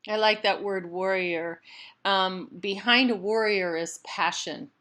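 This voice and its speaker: A woman hosting a conversational interview, speaking politely and encouragingly, with pauses as she leads into her questions. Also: mid-range voice, bright, forceful tone. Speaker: interview host.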